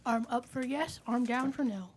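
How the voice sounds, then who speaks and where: Female tabletop player, highish pitch, playful and a bit bossy, casual delivery. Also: moderate pace, darkish tone, a little hiss or hum. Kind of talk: casual in-game group chat.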